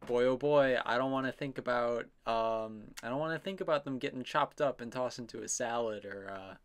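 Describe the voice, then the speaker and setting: A man's voice: mid-range voice, fairly quick, clear, resonant tone. A man narrating his own drawing process in a casual, unscripted voice-over, rambling and self-amused as his musings trail off.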